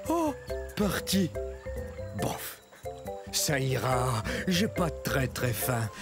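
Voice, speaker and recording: A man's voice: medium pitch, big pitch swings, drawn-out words. An actor playing a sly male meat-eating hunter dinosaur in a children's educational cartoon, teasing and explaining in a confiding, teacherly way. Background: music.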